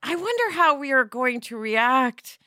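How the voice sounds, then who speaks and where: A middle-aged woman co-hosting a podcast, chatting in a lively way with lots of asides. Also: high-pitched, a little slow, no echo, studio quality. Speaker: podcast co-host. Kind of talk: casual podcast discussion.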